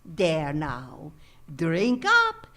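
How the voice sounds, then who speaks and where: Woman acting a slow, lilting, gracious hotel manager. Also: low-pitched, wildly swooping pitch, bright, forceful tone, heavily acted. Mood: breezy. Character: gracious but odd female hotel manager, brisk and callous. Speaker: actor in live radio drama. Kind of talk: comic radio play acting.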